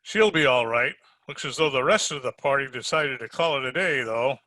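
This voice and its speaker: A man's voice: medium pitch, normal speed, sharp tone, no echo, close to an everyday voice. A man playing a dry, wisecracking private detective in an old-time radio drama, narrating his case in hardboiled style. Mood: matter-of-fact. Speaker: amateur radio drama player.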